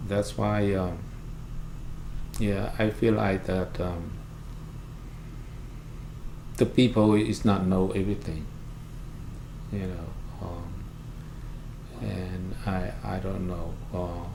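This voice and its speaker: Low-voiced Cambodian man speaking halting English. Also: fairly even intonation. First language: Khmer. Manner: halting, simple non-native English phrasing. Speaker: interviewee, refugee services worker and pastor. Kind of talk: oral history interview, recounting personal experience. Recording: roomy echo, clear background noise.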